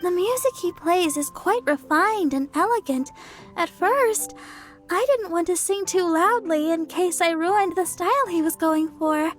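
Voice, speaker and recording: A modest, helpful young idol girl from a video game. Her voice is extremely high and gentle, with an earnest, bashful delivery. Faint music sits underneath. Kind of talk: video game cutscene acting.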